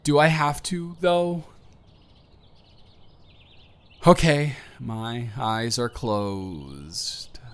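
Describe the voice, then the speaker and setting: A man's voice: medium pitch, very slow, clean tone. A man playing an earnest, anxious young man confessing love to a close friend in a hesitant, vulnerable way, in an intimate audio roleplay. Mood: anxious, near tears. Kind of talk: emotional roleplay audio acting, intimate monologue to the listener.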